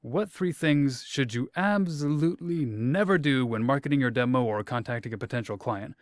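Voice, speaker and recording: A relaxed man from Indiana hosts the interview and asks his questions with easy jokes. His voice is smooth and clear, and the sound is close and dry. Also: mid-range voice, normal speed, no room sound. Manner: joking, relaxed. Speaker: interviewer, host.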